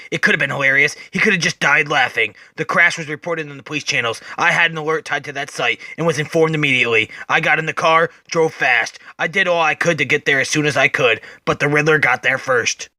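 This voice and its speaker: Grim vigilante, far deeper register, solemn, measured, brooding, comic-book drama narration, dry close sound. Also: male voice, sharp tone, dry recording. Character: grim brooding adult male vigilante narrating his memories.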